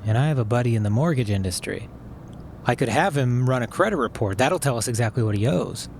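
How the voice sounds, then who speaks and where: An actor playing a cautious, anxious amateur hacker in a naturalistic podcast drama, hesitant and defensive. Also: male voice, mid-range voice, expressive, fast, creaky, a little mellow, a little hiss or hum. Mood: worried. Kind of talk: naturalistic fiction podcast acting.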